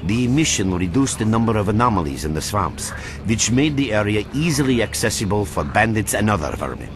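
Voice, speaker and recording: Grave, earnest game faction leader, lowish voice, lively intonation, noisy ambience behind. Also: male voice. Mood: grave, persuasive. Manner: measured, earnest explaining. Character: earnest male leader of a secretive research faction. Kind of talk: video game character dialogue, expository. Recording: clearly noisy ambient background.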